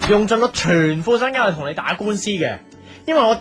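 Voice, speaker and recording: Actor as animated, exasperated young Hong Kong cafe owner. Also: male voice, sits high, a little fast. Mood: flustered, protesting. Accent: Hong Kong Cantonese. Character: hapless, defensive young cafe owner, prone to brooding. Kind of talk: audio drama with introspective narration and dialogue. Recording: music behind the voice.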